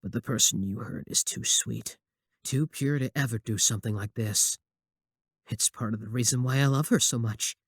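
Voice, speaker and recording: A man's voice: medium pitch, normal speed, breathy edge, dry recording, clean studio sound. A young man acting a possessive, obsessive student admirer in a scripted ASMR roleplay, sweet yet menacing. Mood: cold, resentful.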